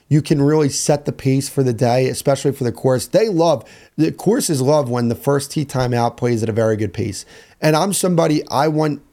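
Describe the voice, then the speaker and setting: A young man with a hoarse voice, hosting a solo sports podcast in a casual, rambling way that repeats phrases. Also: mid-range voice, warm tone. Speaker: podcast host.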